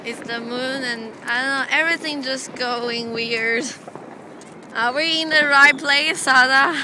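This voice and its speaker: A woman's voice: high voice, a little slow. A young woman chatting casually into a handheld camera on a city street at night, in non-native English with lots of fillers. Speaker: vlogger filming on the street. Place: outdoors on a city street at night during fireworks. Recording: loud street noise, fireworks bangs. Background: fireworks, traffic and street noise.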